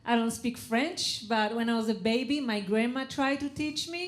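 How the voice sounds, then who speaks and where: A woman's voice: on the high side, normal speed. A woman born in Israel doing a stand-up set, chatty and laughing at her own jokes on stage. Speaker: comedian. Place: stage before a live audience. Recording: live show with crowd noise.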